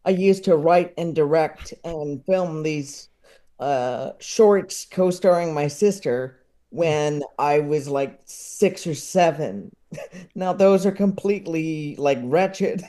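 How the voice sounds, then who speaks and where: A man talking casually as a podcast guest, halting and self-deprecating but enthusiastic, with chuckles slipping into his speech. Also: a little high, dark tone.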